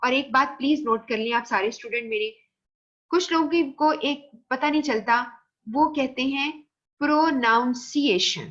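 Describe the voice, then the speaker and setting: A woman's voice: high-pitched, a little slow, a bit bright. A woman with a Pakistani Urdu accent giving a recorded classroom lecture, explaining patiently and slipping into English phrases. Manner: explains patiently, mixes English phrases, repeats "theek hai" checks. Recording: dull lo-fi recording.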